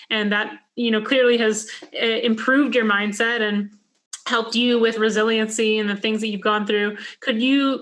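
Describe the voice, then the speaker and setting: A woman's voice: on the high side, fairly bright tone. A woman hosting a podcast, chatting casually with plenty of "like" and relating her own experiences. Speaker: podcast host. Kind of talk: casual interview chat.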